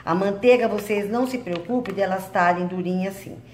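A woman's voice: medium pitch, brisk, a little mellow, roomy sound, slight background noise. A woman hosting a home cooking video, chatting and explaining each step as she mixes dough in her kitchen. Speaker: cooking channel host. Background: clinking utensils and bowls.

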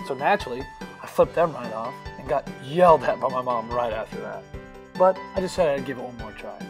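A young man narrates his own story in an earnest, reflective voice, his pitch sitting in a plain middle range. Music plays clearly beneath him throughout. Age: young adult. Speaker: narrator of a family video. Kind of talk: personal storytelling voice-over.

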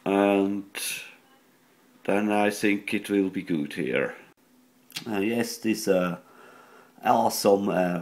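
A man who is not a native English speaker, giving a halting, matter-of-fact hands-on technical demonstration at a workbench. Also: fairly low pitch. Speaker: radio repair hobbyist. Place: indoors at an electronics workbench.

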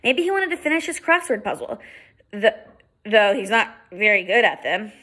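A woman's voice: mid-range voice, very animated, moderate pace, pushed and bright. A woman reading aloud and voicing a frightened, indignant young girl who stammers breathlessly. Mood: puzzled.

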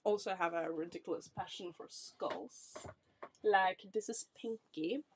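Slow, low-voiced Norwegian woman vlogger. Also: slight background noise. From Norway. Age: 20s. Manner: chatty, apologetic, reassuring, laughs often. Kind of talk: casual self-introduction to camera. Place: small decorated room indoors.

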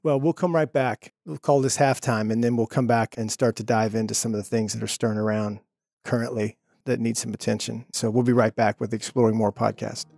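A man's voice: mid-range voice, level tone, a little fast, gravelly, darkish tone, dry recording, clean studio sound. Affirming male podcast host, fifties. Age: 50s. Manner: affirming, conversational, frequent agreement. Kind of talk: casual chat.

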